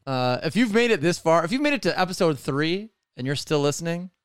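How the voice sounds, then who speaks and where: A hungover young man in his twenties with a slightly hoarse throat, hosting a crude, joking podcast chat at home. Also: on the high side, lively intonation, a little fast, dry recording, clean studio sound. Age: 20s. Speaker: podcast host.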